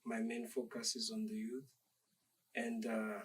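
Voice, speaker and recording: A young man from Zambia, a dancer being interviewed, speaking in a measured, reflective and polite way. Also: medium pitch, monotone, distant mic, slight background noise. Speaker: dancer guest. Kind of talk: interview conversation.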